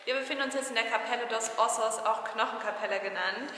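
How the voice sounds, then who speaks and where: A woman's voice: a little high, quick pace, reverberant. A woman in her twenties talking casually to camera as a travel vlogger, explaining and chatting. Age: 20s. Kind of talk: casual vlog chat and explanation. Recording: noisy camera recording with background ambience.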